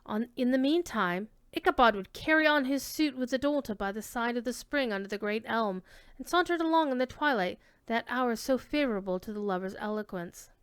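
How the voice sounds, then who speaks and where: Clear, higher-pitched woman reading a story aloud. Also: animated, a little fast, dry recording. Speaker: reader giving a dramatic reading of a classic tale. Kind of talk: dramatic literary narration, reading aloud.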